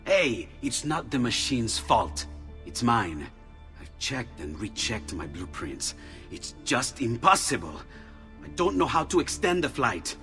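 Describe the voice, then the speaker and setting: An actor voicing an excitable male inventor in a video game, musing one moment and overjoyed the next. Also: mid-range voice, measured pace, soft background music. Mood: thoughtful. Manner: eager, swings from musing to shouting joy. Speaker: game character.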